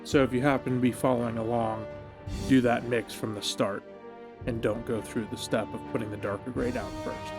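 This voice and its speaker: A man giving a measured, explanatory voice-over as the host of a hobby tutorial. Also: mid-range voice, level tone. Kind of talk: instructional voice-over narration. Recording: music behind the voice. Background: background music.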